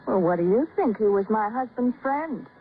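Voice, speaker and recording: A woman's voice: medium pitch, lively intonation, normal speed, close to an everyday voice. Woman acting a brittle American wife, scratchy old radio. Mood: brittle, bitter. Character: distraught, controlling wife confessing to a killing. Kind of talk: 1950s radio drama acting. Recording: scratchy old radio broadcast, narrow band, hiss.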